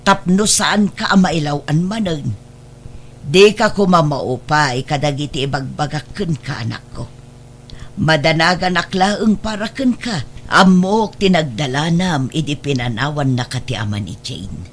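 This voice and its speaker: Babai nga agak-akem iti madanagan a baket nga ina, adda nakapuy nga ugong. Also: female voice, low-pitched, measured pace, a little hiss or hum. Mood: admonishing. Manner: admonishing, motherly. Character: worried, protective, suspicious older mother. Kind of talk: radio drama dialogue.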